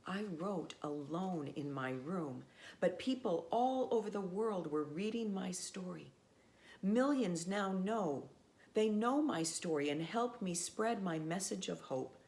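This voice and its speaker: A woman pastor, reading a picture book aloud to children in an expressive, simple way. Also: lowish voice, takes it slowly. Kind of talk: storybook read-aloud, addressed to children.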